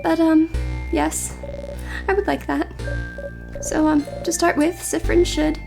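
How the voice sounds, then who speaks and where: A woman's voice: extremely high pitch, little pitch movement, slow, creaky, over music, voice pushed up, exaggerated voice. A woman voicing an anxious, earnest young heroine from a role-playing game, hesitant and polite. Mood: flustered.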